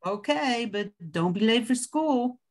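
An older woman plays a hospitable Creole grandmother who loves her kitchen. She speaks slowly in a slightly raised voice, in the homely manner of an amateur radio drama. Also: a little high, animated, a little mellow. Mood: polite, caring. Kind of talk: amateur radio play acting.

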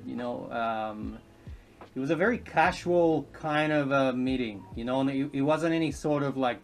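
Hesitant Chilean man in his thirties. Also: medium pitch. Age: 30s. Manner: hesitant, many ums and restarts. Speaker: podcast guest. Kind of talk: casual interview chat. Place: indoors, home living room.

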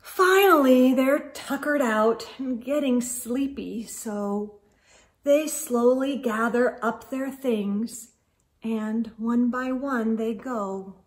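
A middle-aged woman hosting a children's storytime, reading a picture book aloud in an expressive, sing-song way and talking directly to her young listeners. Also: on the high side, slow pace. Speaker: host of children's storytime show. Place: indoors in a room lined with bookshelves.

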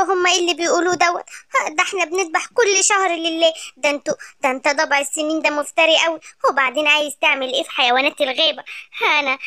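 Children's animal cartoon: woman as anxious Egyptian mother beast, bright, piercingly high, flustered delivery. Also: no echo, clean studio sound. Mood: worried, urgent. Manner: flustered, exclaiming, repeats herself. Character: anxious, fearful mother animal turned into a cow. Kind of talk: children's animal story acting.

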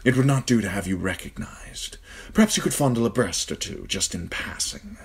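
A man's voice: lowish voice, animated, moderate pace, slightly rough. A man voicing a sly, glib male nobleman who teases in a sardonic way. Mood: mocking. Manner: teasing, sardonic.

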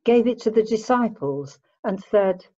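A woman narrating a dramatic scripture reading in a measured way. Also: medium pitch, lively intonation, mellow. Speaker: narrator, church member. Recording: dull lo-fi home recording.

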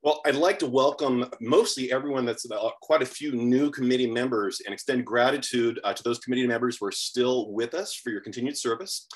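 A man's voice: mid-range voice, a little fast, few pauses. A middle-aged man from San Diego chairing a public committee meeting over a video call, speaking plainly with frequent fillers. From San Diego, USA.

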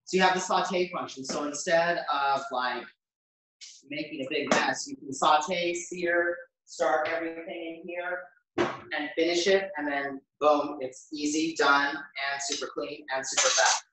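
A man's voice: on the high side, steady tone, unhurried, background noise. American guy chef chatting through a cooking demo, really echoey kitchen, mic kinda far off. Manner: chatty, informal, thinking aloud while working. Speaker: chef hosting a cooking demonstration. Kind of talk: casual live cooking demonstration. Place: home kitchen, indoors. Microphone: camera a few metres away. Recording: very echoey kitchen, distant microphone.

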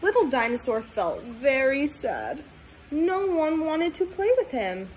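A woman in her twenties reading a storybook aloud to young children, talking in simple, sing-song, animated phrases. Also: sits high, takes it slowly, a little hiss or hum, low-quality recording. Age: 20s. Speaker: teacher reading aloud to pupils.

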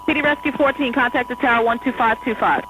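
A woman air traffic controller in an airport tower, giving quick, polite instructions to pilots over the radio. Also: fairly high pitch, steady tone, highs cut off. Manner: brisk, polite and apologetic. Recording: noisy radio transmission with hum.

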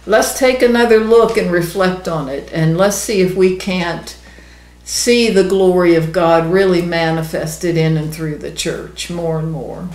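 A woman's voice: on the low side, a little slow, a little mellow. A woman, teaching the Bible in an earnest, emphatic, conversational way. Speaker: ministry co-host, Bible teacher. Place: small indoor room. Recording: roomy, echoey indoor sound.

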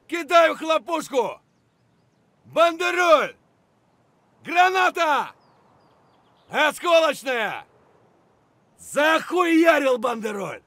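An actor playing an aggressive, foul-mouthed mercenary in a military shooter game, giving short, punchy combat callouts. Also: male voice, very high-pitched, bright, forceful tone, no room sound, exaggerated voice.

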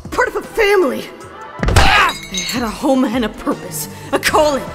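A woman's voice: high voice, sing-song, moderate pace, in a large hall. Woman playing a cool, clipped fox assassin, music behind. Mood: scornful, angry. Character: female mutant arctic fox ninja assassin, loyal, coolly confident. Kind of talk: comic dub, first-person scripted narration.

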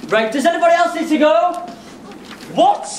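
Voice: very high-pitched, expressive, moderate pace. A performer playing a bossy, exasperated army sergeant in a pantomime, barking at the troops on a theatre stage. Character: bossy army sergeant drilling hapless soldiers. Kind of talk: broad pantomime stage acting. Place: theatre stage before an audience. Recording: echoey theatre, music and audience noise.

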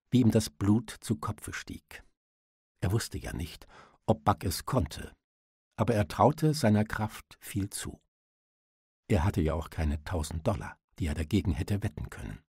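A male audiobook narrator reading literary prose in a lowish, dark voice. It has a husky grain, and the recording is dry and close. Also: no echo.

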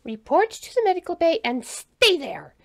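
A commanding female insectoid alien officer in a storybook tale, voiced by a woman. The pitch sits high, well above plain narration. The delivery is clipped, stern and firm. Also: sing-song, moderate pace, gravelly, no room sound, studio quality, exaggerated voice. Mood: stern, demanding. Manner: clipped, firm, snapping orders.

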